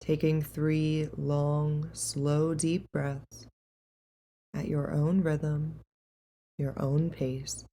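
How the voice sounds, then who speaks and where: A woman leading a guided meditation in a calm, gentle, unhurried and soothing way. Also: low voice, slight background noise.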